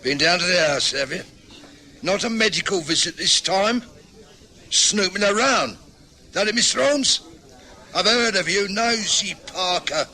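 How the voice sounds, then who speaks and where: An actor playing a hostile, defensive husband in a radio drama, sneering and blustering. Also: male voice, high-pitched, lively intonation, unhurried, long pauses, bright, forceful tone, heavily acted. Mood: surly. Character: belligerent pub-drinking husband, surly and defensive. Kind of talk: 1960s radio drama acting.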